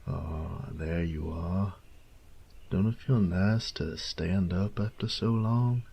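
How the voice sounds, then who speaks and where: Man playing a low, creaky boyfriend. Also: fairly even intonation, slow, warm tone, full character voice. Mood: playful, excited. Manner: drawling, teasing, tender, coaxing, with breathy near-whispers. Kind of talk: intimate scripted audio roleplay addressed to the listener.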